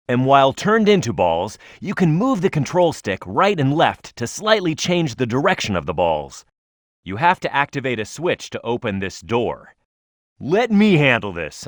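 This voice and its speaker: A man's voice: mid-range voice, fairly bright tone, dry recording, studio quality. A man voicing a tough, hot-headed young echidna hero in a video game, speaking emphatically and with cocky confidence. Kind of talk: video game character voice lines, tutorial hints and battle shouts.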